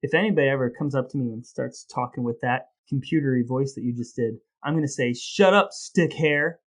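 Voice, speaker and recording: A man's voice: medium pitch, brisk. A man co-hosting a casual comedy podcast, talking in a wordy, playfully dramatic way. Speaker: podcast co-host.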